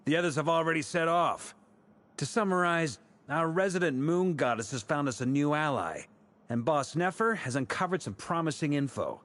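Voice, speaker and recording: Lively, easygoing commander. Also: male voice, fairly high pitch, normal speed. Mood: jovial. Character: easygoing, confident older male commander, jovial leader. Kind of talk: scripted video game dialogue.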